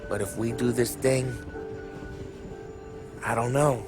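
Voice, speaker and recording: An actor playing a streetwise, blunt Prohibition-era mobster who plans a heist, in a game cutscene. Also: male voice, medium pitch, fairly even intonation, slow pace, loud music behind the voice, natural voice. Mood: scheming. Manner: streetwise, blunt tough-guy talk.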